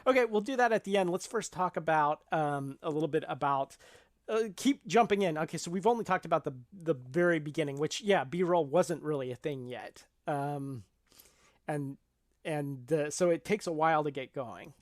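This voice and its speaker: A man with a fairly high voice and a close, dry sound talks as a podcast co-host. He explains things in a casual, conversational way, regularly checking in with the listener as he builds a point. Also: moderate pace, dry recording. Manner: explanatory, checks in with "right?" and "you know?".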